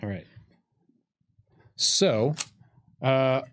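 Guy in his 30s, normal mid pitch, talking thru board game rules, kinda halting and loose. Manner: hesitant, loosely phrased. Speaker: game designer and co-host. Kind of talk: casual tabletop game rules explanation. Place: indoor studio with curtain backdrop. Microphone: microphone on desk stand.

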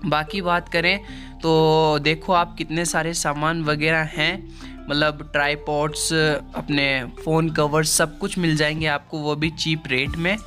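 A young man with a fairly high voice walks through town, chatting to his viewers in a loose, repetitive vlog style. He holds his phone camera at arm's length outdoors, and music plays clearly under his voice. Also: little pitch movement, measured pace, sharp tone. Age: young adult. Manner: chatty, repeats "guys" and "chalo" often. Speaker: travel vlogger. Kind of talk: casual walking vlog narration. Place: outdoors on city streets and a market. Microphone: handheld phone camera at arm's length.

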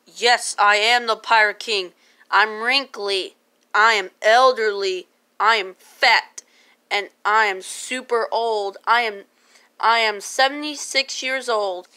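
Woman doing old fat pirate king, scheming n bossy, high voice, talks slow, homemade puppet thing. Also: bright, forceful tone, smooth. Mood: boastful.

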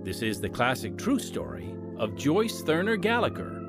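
A man narrating a radio drama as its announcer, with a dramatic, measured delivery. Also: a little high, wildly swooping pitch. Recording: music under the voice. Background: music.

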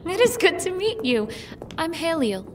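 A woman's voice: very high-pitched, very animated, moderate pace, a little hiss or hum. A woman playing a polite, welcoming young noble daughter in a fantasy audio drama. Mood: friendly.

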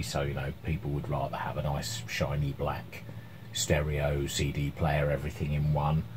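Casting: man, middle-aged, deep pitch, hesitant hobbyist demonstrating at his bench, some background noise. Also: warm tone, low-quality recording. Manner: hesitant, unscripted, thinking aloud. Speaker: electronics hobbyist presenting his repair. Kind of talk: informal workbench demonstration. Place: small cluttered indoor workshop at home. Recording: clear background noise, room ambience.

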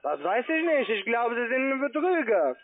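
High-voiced foreign-accented man on the phone. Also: big pitch swings, a little hiss or hum. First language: not German. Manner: insistent, halting phrasing with repetitions. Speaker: call-centre phone agent. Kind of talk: argumentative sales phone call. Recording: muffled, band-limited phone line.

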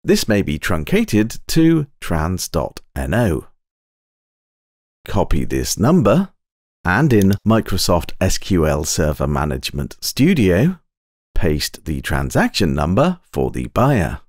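Deep-voiced man narrating a software tutorial. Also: wildly swooping pitch, dry recording, studio quality. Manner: measured, precise step-by-step instruction. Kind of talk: scripted instructional narration. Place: close, dry voice-over recording.

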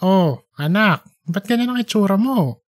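Woman as laid-back beer-loving dad, audiobook character, dropped pitch, easygoing. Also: animated, measured pace, natural voice. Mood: affectionate. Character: laughing, easygoing, beer-loving husband and father.

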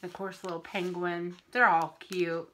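A middle-aged woman from Pennsylvania, a little wheezy and often clearing her throat, chatting through a craft haul on camera in her home kitchen. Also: on the low side, level tone, a bit bright, slight background noise. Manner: chatty, unhurried, friendly show-and-tell. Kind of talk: casual haul video. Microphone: camera in front at arm's length.

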